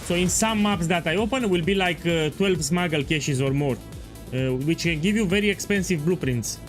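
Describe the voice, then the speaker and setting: A man's voice: a little high, normal speed. Man streaming gameplay, music behind. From Romania. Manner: explanatory, repetitive, chatty. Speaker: game streamer. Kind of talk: casual gameplay commentary.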